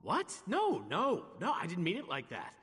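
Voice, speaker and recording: An actor playing a chatty, wry, loyal adventurer man in a video game, with a husky voice and a casual, expressive manner. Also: high voice, moderate pace, full character voice. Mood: flustered, wistful. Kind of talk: video game character dialogue. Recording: long reverberant hall echo.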